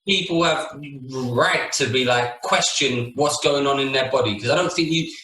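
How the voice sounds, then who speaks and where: A man's voice: medium pitch, moderate pace, bright, forceful tone. A man co-hosting a casual argumentative talk podcast, speaking in an earnest, insistent way over a remote call. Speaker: podcast co-host. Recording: roomy, echoey room sound.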